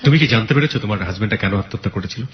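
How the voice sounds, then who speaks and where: A man's voice: on the low side, very fast, dull audio. A man with a Bangladeshi accent hosting a radio show, interviewing a guest about a real-life story with probing questions.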